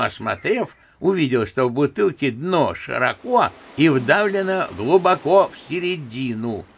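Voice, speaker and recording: Old male general, good-natured grandfather, naive bookish storyteller, swooping intonation, creaky voice, radio drama. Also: medium pitch, a bit bright, slight background noise, heavily acted. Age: older. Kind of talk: Russian radio drama acting.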